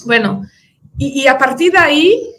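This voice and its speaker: A woman from Brazil, a native Portuguese speaker, answering audience questions at a conference in Spanish with a Brazilian accent, halting often with fillers and restarts. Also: high voice, big pitch swings, a bit bright. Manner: hesitant, many fillers and restarts.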